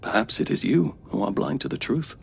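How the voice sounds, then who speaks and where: A man's voice: mid-range voice, expressive, fairly quick, gravelly, a little hiss or hum. A man playing an earnest, zealous alien peace negotiator in an audio drama, speaking in impassioned, persuasive oratory. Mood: fervent, proud.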